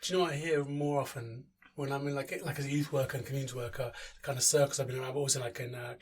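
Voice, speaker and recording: A man's voice: mid-range voice, little pitch movement, rapid-fire, far from the mic. A man hosting an interview, a youth and community worker, asking engaged, eager questions. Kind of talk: interview conversation.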